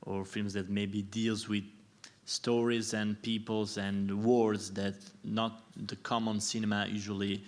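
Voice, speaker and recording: A man's voice: lowish voice, level tone, unhurried. A man in the audience asks the panel a long, tentative question and keeps saying "maybe.". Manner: rambling, tentative, repeats "maybe". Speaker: audience member asking a question.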